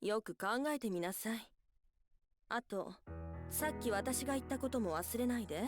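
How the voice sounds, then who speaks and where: Woman doing snooty fox spirit lady from a visual novel, highish voice, cool n scornful, quick, music behind. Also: expressive, lightly acted. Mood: haughty, teasing. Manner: haughty, scolding, dismissive. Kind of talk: Japanese visual novel voice acting.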